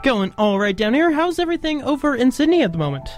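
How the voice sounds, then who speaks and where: A man's voice: very high-pitched, big pitch swings, fast, faint music behind. A man hosting a radio podcast interview, chatty, asking long rambling questions with many fillers. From Canada.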